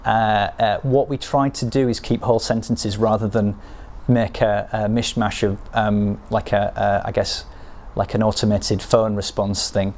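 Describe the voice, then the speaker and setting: Low-voiced man, hesitant, thinking aloud. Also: level tone, a little slow, hardly any pauses, a little mellow. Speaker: game developer explaining cut scenes. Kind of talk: behind-the-scenes interview, unscripted explanation.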